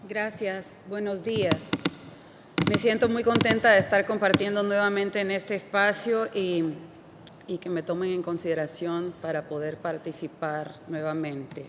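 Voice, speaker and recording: A woman from El Salvador, a parliamentary delegate, giving a measured, grateful formal intervention at a conference. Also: mid-range voice, flat delivery. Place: large conference hall. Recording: echoey hall, faint noise.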